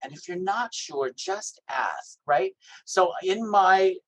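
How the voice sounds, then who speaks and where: A man from Hawaii with a naturally high voice, giving an emphatic workshop talk over a video call and checking in with "right?". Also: takes it slowly, low-quality recording. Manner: lively, emphatic, asks "right?" often. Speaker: health equity trainer. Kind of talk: workshop presentation.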